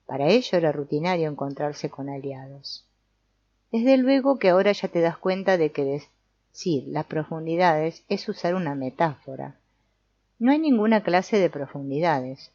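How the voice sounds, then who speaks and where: A woman reads a book aloud as scripted narration, in a measured, didactic way. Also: low-pitched, a little mellow, muffled recording. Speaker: audiobook narrator.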